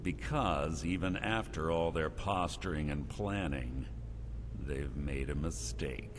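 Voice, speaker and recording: An actor playing a cold, calculating thieves guild master in a fantasy game, speaking deliberately and formally with a commanding manner. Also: male voice, very deep voice. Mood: stern, menacing. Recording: clear background noise, game ambience.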